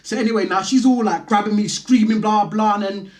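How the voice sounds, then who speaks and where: A man's voice: high voice, brisk. A man with a London accent hosting a vlog, telling a personal story in a casual, slangy, conversational way. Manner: conversational, slangy, animated retelling. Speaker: vlog host.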